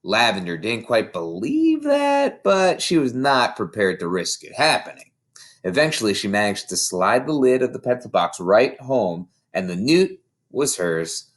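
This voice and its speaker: A man reading a children's story aloud in an expressive way, like an audiobook narrator. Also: mid-range voice, a little slow. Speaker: story reader.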